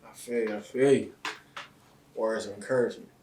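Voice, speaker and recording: A man hosting an interview show, opening with loud hype and asking casual questions in street slang. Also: on the low side, brisk. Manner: loud hyped opener, then relaxed street slang. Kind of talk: casual hip-hop interview intro and questions. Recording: roomy, slightly distant mic with faint noise.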